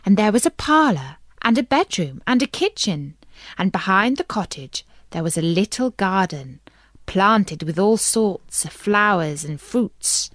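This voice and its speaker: A woman's voice: medium pitch, very animated, unhurried, no echo, clean studio sound. A woman reading a children's fairy tale as scripted storytelling narration.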